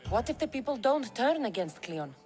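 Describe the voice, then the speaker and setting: An actress playing a bold, determined ancient mercenary in a video game, speaking directly. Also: female voice, a little high, very animated, moderate pace, mellow, faint music behind. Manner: direct, resolute.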